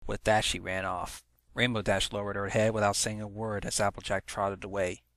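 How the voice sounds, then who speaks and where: Bright-voiced man narrating a story. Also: on the low side, level tone, moderate pace, dry recording. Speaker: fan fiction narrator. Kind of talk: dramatic scripted story narration.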